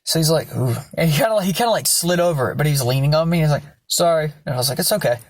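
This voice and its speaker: A hoarse young man telling a personal story to his own camera at home, rambling and swearing freely. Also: fairly high pitch, expressive, racing, no echo. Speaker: vlogger. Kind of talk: casual storytelling vlog. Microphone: self-filmed camera.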